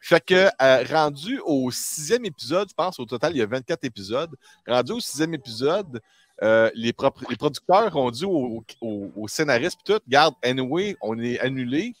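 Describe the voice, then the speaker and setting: A man with a Québécois French accent explaining things in casual, halting, colloquial speech as a presenter on a discussion panel. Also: medium pitch, lively intonation, no room sound. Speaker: panelist presenting on a pop culture show.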